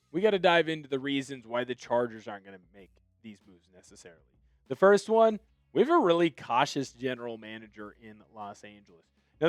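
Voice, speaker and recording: A man's voice: fairly high pitch, no echo. Opinionated male sports host, dry close mic. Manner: opinionated, animated bursts of excitement. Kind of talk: solo sports commentary video.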